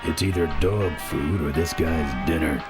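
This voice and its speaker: A tough biker from a video game with an extremely low voice. His delivery is dry and deadpan with a hint of menace, over very loud music. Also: a little slow. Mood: wry, deadpan. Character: tough, wry male biker in leather.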